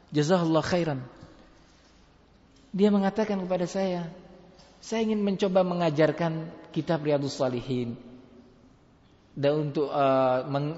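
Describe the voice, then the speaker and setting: A man's voice: a little high, a little mellow. Preacher man in a reverberant hall. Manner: deliberate, emphatic delivery with repeated phrases and Arabic terms. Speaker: Islamic preacher. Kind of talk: sermon, religious lecture. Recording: dull, reverberant hall recording.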